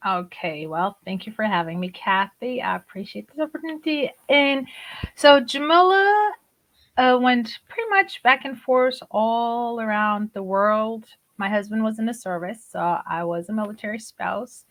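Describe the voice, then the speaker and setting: A woman's voice: fairly high pitch, normal speed, a bit bright. A woman in her forties, raised in Germany with Turkish as her first language, chatting as a podcast guest and laughing often. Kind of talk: conversational interview.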